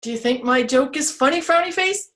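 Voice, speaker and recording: A woman's voice: animated, fairly quick. A woman voicing a chatty, joke-loving talking birch tree in a children's story. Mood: teasing. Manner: bubbly, high and bright, giggly puns.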